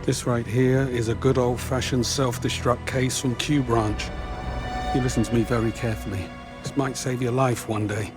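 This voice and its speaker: An actor playing a seasoned British secret agent in a game cutscene, measured and dryly instructive. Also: male voice, mid-range voice, flat delivery, music in the background, close to an everyday voice. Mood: urgent, instructive.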